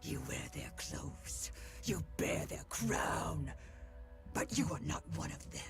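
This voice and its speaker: A woman's voice: low-pitched, animated, gravelly, exaggerated voice. An actress playing a cruel, monstrous villain woman in a visual novel, with contemptuous, mockingly condescending delivery that breaks into whispers. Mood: scornful. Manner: slow, taunting, drawn-out delivery. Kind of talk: dramatic visual novel voice acting. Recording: loud music over voice.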